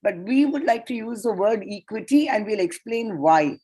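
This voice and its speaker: A woman whose first language is Tamil, presenting an academic paper at an online conference in a measured, deliberate way. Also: a little high, animated, mellow, husky. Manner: measured, deliberate lecturing with enumerated points.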